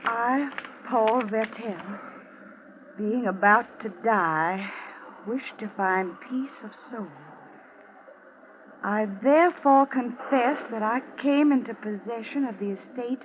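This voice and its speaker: An actress playing a cold, taunting wife bent on revenge, in an ominous, theatrical 1940s radio drama style. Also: female voice, a little high, takes it slowly. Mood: solemn, chilling. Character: vengeful wife, cold, taunting, avenging her father. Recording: muffled, noisy old radio recording.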